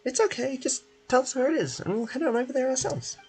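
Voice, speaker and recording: A small, squeaky companion from a video game. The voice is a very high falsetto with a childlike, outspoken manner. Music plays behind it. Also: male voice, fast talker, a little mellow. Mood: helpful, eager. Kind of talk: video game character voice-over.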